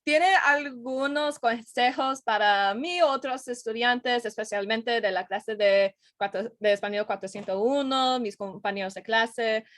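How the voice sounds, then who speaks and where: A woman's voice: fairly high pitch, unhurried, few pauses, dry recording, clean studio sound. A young woman student interviewing her teacher, speaking with a learner's slips and restarts. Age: young adult. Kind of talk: class interview.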